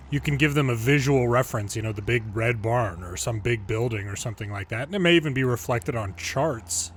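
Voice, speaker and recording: A man's voice: mid-range voice, slight background noise. An American man giving casual, folksy gameplay commentary and explanations as a former controller hosting a gaming video.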